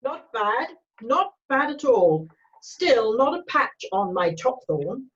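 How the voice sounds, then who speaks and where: Woman as a confident, high-voiced cavalry major. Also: very animated, slow pace, muffled recording. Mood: cocky teasing. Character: confident, brisk upper-class male cavalry major, senior officer. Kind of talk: amateur screenplay read-through.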